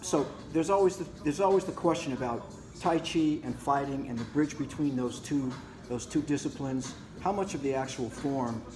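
A man hosting a casual interview, putting questions haltingly and rephrasing them as he goes. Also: medium pitch, music in the background. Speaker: interviewer and host. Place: martial arts gym with mats, indoors. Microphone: camera a few feet away. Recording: echoey room.

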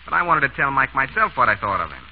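An actor playing a tough, sardonic gambling racketeer in an old-time radio drama, talking in curt slang. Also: male voice, mid-range voice, sing-song, fast talker. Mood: grudging. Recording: muffled, noisy vintage radio recording.

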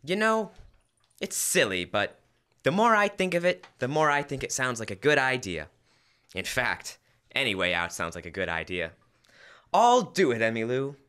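A man's voice: medium pitch, big pitch swings, normal speed, pushed and bright, smooth. A young man playing an earnest, flustered husband in an old-time radio comedy. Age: teens to young adult. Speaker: student actor. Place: school library room, staged performance. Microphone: stand microphone on stage.

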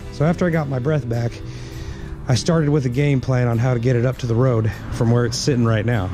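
A plain-spoken man talks to his action camera outdoors, joking his way through the job. His voice sits mid-pitched, and music plays clearly under it. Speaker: outdoor explorer vlogger. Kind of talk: casual narration to camera. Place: outdoors by a creek under a bridge. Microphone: action camera held or mounted close.

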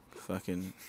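A young man in his thirties hosting a casual comedic podcast on a backyard patio, holding a handheld mic, animated and profane, bursting into silly mock singing. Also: slight background noise. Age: young adult. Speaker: podcast host.

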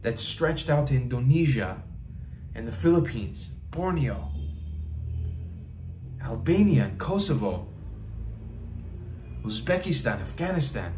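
A man's voice: medium pitch, a little mellow, slight background noise. Emphatic man speaking straight to camera. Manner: emphatic, repeats phrases for rhetorical effect, gestures with hands. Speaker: travel vlogger. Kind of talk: impassioned direct-to-camera monologue. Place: small bedroom indoors.

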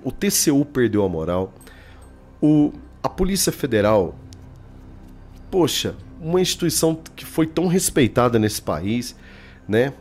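A Brazilian man in his thirties talks to his online audience in a husky, mid-pitched voice. His political commentary is colloquial and emphatic, with faint music underneath and a Brazilian Portuguese accent. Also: darkish tone. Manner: colloquial, emphatic, addressing viewers directly. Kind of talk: informal opinionated political commentary to camera. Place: small indoor room, home studio. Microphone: desk microphone.

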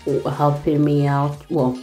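Young woman, low dark mellow voice, chatty and easygoing, soft music behind. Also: completely flat delivery, takes it slowly. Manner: chatty, laughs often, easygoing. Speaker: vlogger. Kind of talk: casual voice-over chat. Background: soft background music.

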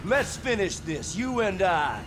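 An actor playing a deranged, gleefully murderous villain in a horror video game, taunting and gloating. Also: male voice, high-pitched, very animated, unhurried, background noise. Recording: loud music under the voice.

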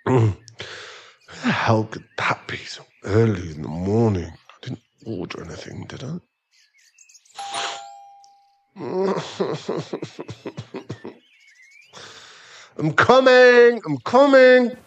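A man plays an irritable sugar daddy boyfriend in an ASMR roleplay. He talks slowly and haltingly, sighing in exasperation, and his pitch swings widely as he pleads for space. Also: animated, slightly rough, highs cut off, soft background music, exaggerated voice. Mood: grumpy.

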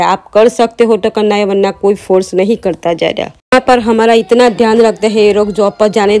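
A woman's voice: mid-range voice, warm tone, dry recording. A young adult woman narrating a casual vlog in a chatty, explanatory way, talking directly to her viewers. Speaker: vlogger. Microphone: handheld phone.

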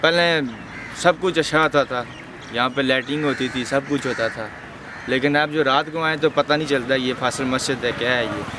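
Young man, mid pitch, filler-heavy talk, outdoor interview with traffic behind. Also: normal speed. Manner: leans heavily on the filler "jo hai woh". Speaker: taxi driver. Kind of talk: documentary interview. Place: outdoors near a mosque. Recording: clear outdoor traffic noise.

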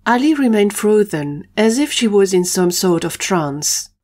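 A woman's voice: medium pitch, big pitch swings, a little slow, a little mellow. A woman narrating a scripted audio drama story.

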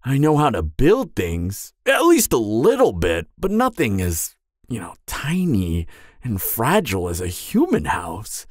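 A man's voice: medium pitch, wildly swooping pitch, unhurried, no echo, clean studio sound. A man voicing a friendly, clumsy, apologetic giant in a roleplay audio drama, talking in a hesitant, flustered ramble. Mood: awkward, guilty.

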